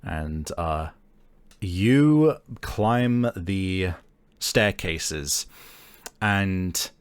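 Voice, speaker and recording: A man narrating a tabletop game as game master, in halting, deliberate phrases. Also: sits low, lively intonation, clean tone, no room sound.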